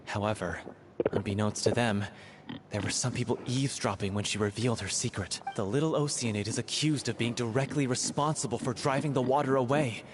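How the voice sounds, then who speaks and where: A man playing an earnest, grieving young stage actor in a video game, speaking in a measured, dramatic way. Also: mid-range voice, background noise, natural voice. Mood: solemn storytelling. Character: earnest grieving young theatre troupe actor, heartfelt storyteller.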